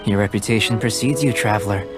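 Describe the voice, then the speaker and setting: A man playing a calm young male alchemist in a video game, speaking in measured, formal, scholarly phrases with cool curiosity. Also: on the low side, lightly acted. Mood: composed, intrigued. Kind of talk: scripted video game dialogue. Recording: game music behind the voice. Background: game background music.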